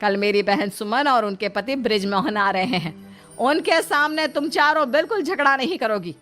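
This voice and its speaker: A woman's voice: a little high, expressive, normal speed, a bit bright, soft background music. A woman voicing a stern, exasperated older mother-in-law who scolds and commands, in a cartoon moral story. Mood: scolding.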